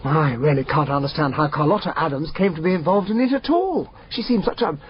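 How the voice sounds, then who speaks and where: An actor playing a loyal, baffled gentlemanly sidekick in a radio drama, earnest and protesting. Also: male voice, on the high side, lively intonation, brisk, natural voice. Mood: puzzled, earnest. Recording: dull, band-limited radio recording.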